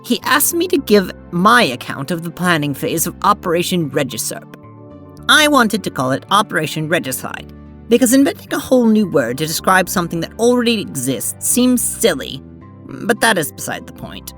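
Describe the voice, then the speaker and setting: A woman playing a prim, earnest alien seamstress in an audio drama, with formal, precise, deliberate diction. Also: on the low side, big pitch swings, fairly bright tone, faint music behind. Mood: formal, dry. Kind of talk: audio drama acting and narration.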